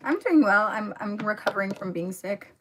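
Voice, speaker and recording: A young woman with a voice hoarse from a cold, chatting casually with viewers during a livestream and filling her talk with "like" and "um". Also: medium pitch, expressive, normal speed, fairly bright tone, slight background noise. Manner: chatty, filler-heavy, talks to viewers in the comments. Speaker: livestream host. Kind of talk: casual livestream chat while doing makeup.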